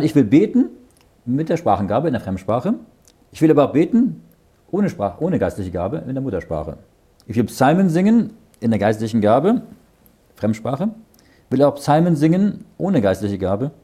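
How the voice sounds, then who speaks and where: Male Bible teacher, middle-aged, husky and lively, reads verses and explains them, often asks "ja?". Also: medium pitch. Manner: reads verses aloud, then explains them in plain words; often checks understanding with "ja?".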